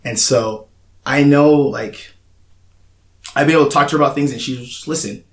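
A man's voice: mid-range voice, normal speed. Young man talking candidly, roomy echo. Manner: candid, rambling, many restarts and fillers. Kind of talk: casual conversation. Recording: roomy echoey room.

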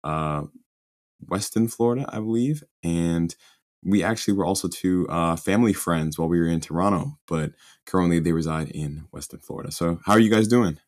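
A young man hosting a casual podcast interview, relaxed and quick to laugh. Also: low-pitched. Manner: relaxed, laughs often, easygoing. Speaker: podcast host.

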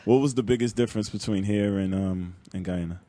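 A man hosting a podcast interview, asking relaxed questions and teasing his guest. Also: on the low side, brisk, no room sound. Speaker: podcast host. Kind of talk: casual interview chat.

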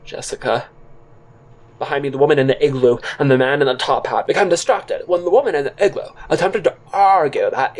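Wry male audio drama narrator. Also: high voice. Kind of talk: scripted first-person audio drama narration.

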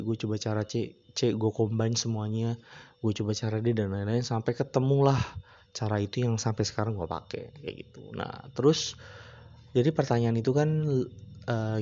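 Mellow-voiced man, rambling casual chat. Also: fairly low pitch, lo-fi sound. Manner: relaxed, rambling, slangy, with frequent hesitations. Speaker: podcast host. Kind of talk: casual solo podcast chat.

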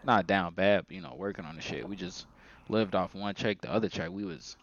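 A man's voice: low voice, fairly quick, gravelly, fairly bright tone, highs cut off. A man in his mid twenties chatting casually as a podcast co-host, with a slangy, informal way of talking. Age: mid 20s. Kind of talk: casual group chat.

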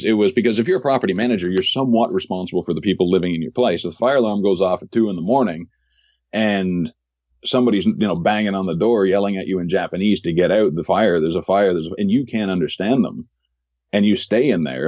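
A man with a very low voice tells stories of his real estate work as a podcast guest. He speaks in a measured way, often repeating words while he finds his phrasing. The sound is dry and a little dull. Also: a little mellow, dry recording. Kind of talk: casual interview storytelling.

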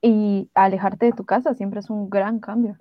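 A young woman talks casually as a podcast co-host at a medium pitch. Her voice is very dry, with no room around it, and the audio is slightly dull. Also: level tone, normal speed, no echo. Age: young adult.

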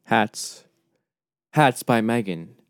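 A man co-hosting a casual podcast, soft-spoken and a bit mumbly, with a laid-back delivery. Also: fairly low pitch, animated, extremely slow, no room sound, clean studio sound. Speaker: podcast co-host. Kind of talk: casual chat.

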